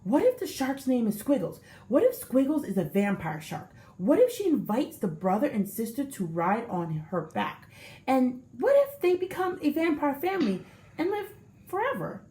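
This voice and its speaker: A woman's voice: sits high, big pitch swings, normal speed, warm tone, echoey room. A woman voicing an eager, imaginative young schoolgirl in a read-aloud storybook.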